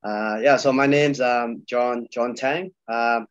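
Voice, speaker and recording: Relaxed man on a dull call. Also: mid-range voice, takes it slowly, dry recording. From Malaysia. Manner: relaxed, loose, many fillers and repeats. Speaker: podcast guest, jiu-jitsu coach. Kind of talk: casual video-call chat. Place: small room indoors. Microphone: video call webcam. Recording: dull, dry video-call audio.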